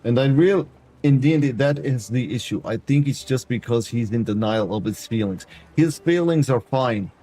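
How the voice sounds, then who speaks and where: Husky man in a put-on foreign accent. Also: medium pitch, animated, normal speed, dark tone. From Canada. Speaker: streamer. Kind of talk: casual gameplay chat with viewers.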